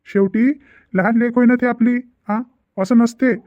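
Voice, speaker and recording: A man's voice: very high-pitched, steady tone, normal speed, dry recording, highs cut off. A man voicing a stern, exasperated middle-aged father in a cartoon family drama, insistent and repetitive, with a Vidarbha Marathi accent. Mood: firm, explaining.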